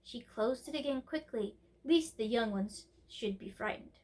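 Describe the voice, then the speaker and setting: A woman storyteller narrating a storybook in an expressive reading style. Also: fairly high pitch, measured pace.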